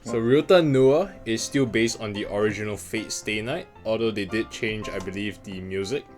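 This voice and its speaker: A man's voice: lowish voice, moderate pace. A man hosting a casual talk show over drinks, chatting in an informal, jokey way with the odd mild swear. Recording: music playing behind the voice.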